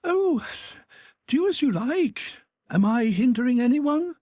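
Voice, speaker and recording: A woman's voice: high-pitched, big pitch swings, slow, warm tone, no echo, studio quality, pitched above the performer's own voice, exaggerated voice. A man reading an audiobook, voicing a proud older countess with a flustered, defensive manner. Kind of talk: audiobook character voice.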